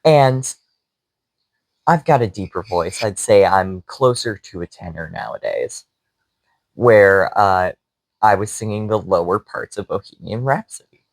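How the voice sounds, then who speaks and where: A young man co-hosting a podcast, telling an animated story in casual chat with frequent laughs. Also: on the low side, unhurried, gravelly, no echo, rough recording. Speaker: podcast co-host.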